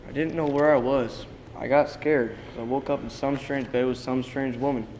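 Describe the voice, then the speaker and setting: A man acting in a play reading as a frightened, confused man losing his memory, subdued and halting, in a reverberant, noisy hall. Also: medium pitch, steady tone, a little mellow, close to an everyday voice. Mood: scared. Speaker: drama student actor. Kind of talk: dramatic reading of a stage play. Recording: reverberant hall with background noise.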